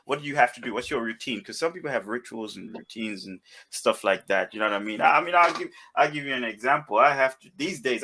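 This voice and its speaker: A man's voice: mid-range voice, pushed and bright. A man co-hosting a casual interview, playful and rambling, restarting his questions as he goes. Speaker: co-host.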